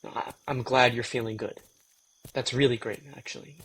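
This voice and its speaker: A man's voice: medium pitch, steady tone, moderate pace, a bit bright, husky, low-quality recording. A man playing a weary, sardonic, reluctant young vampire in an intimate audio drama, guarded and dry.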